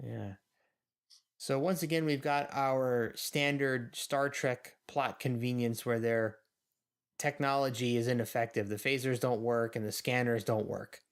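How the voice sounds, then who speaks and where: Male podcast co-host, medium pitch, casual commentary, wry and musing. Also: steady tone, measured pace, clear, resonant tone.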